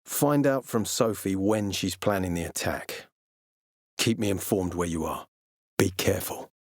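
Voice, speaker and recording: An actor playing a tough, suspicious peacekeeper commander in a video game, terse, sardonic and threatening. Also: male voice, low voice, measured pace, no echo, clean studio sound. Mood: guarded warning. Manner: terse, menacing, sardonic, profane. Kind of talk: video game character dialogue.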